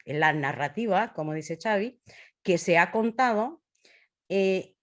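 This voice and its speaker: A woman in her fifties with a Portuguese accent, speaking a second language haltingly as she leads an informal presentation, searching for words. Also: medium pitch, expressive. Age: 50s. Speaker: presenter leading a talk on impact measurement.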